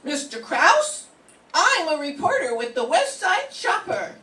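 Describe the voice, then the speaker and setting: A woman's voice: very high voice, sing-song, measured pace, pushed and bright, exaggerated voice. An older woman playing a naive, scatterbrained young woman reporter with wide-eyed gasps in an amateur radio drama reading. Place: classroom. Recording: echoey room, far from mic.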